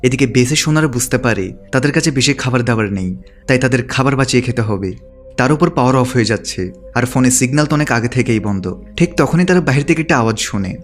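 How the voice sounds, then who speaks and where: A man giving a scripted narration in a steady storytelling manner. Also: medium pitch. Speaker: movie recap narrator. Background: background music.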